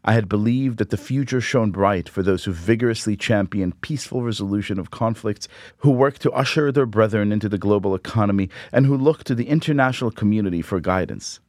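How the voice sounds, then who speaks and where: A middle-aged man, Hebrew speaker, reading a personal essay aloud in a measured, reflective, wry way. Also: on the low side, darkish tone, dry recording, studio quality. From Israel.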